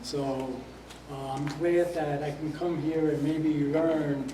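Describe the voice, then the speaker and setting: Older man, from Puerto Rico, hesitant, slightly high voice, echoey distant room. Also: flat delivery, takes it slowly. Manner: hesitant, many fillers. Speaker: new member introducing himself. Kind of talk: casual self-introduction. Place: meeting hall. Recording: echoey hall, distant noisy mic.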